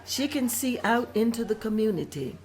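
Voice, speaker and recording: A woman's voice: fairly high pitch, animated, normal speed, a little mellow. A woman reading out scene directions at a script table read in a plain, descriptive manner.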